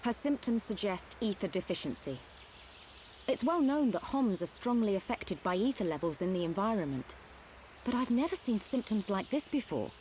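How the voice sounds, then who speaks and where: A composed young medic , played by a woman, speaks at a medium pitch. She sounds capable, in video game style, with background ambience. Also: very animated, moderate pace, darkish tone, slight background noise, lightly acted. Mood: serious.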